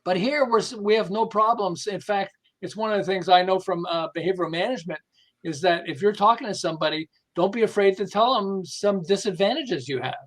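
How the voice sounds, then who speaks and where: A man talking conversationally as a podcast guest, explaining through anecdotes in an animated, stop-and-start way. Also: high-pitched, brisk. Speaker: podcast guest, behavioral management specialist.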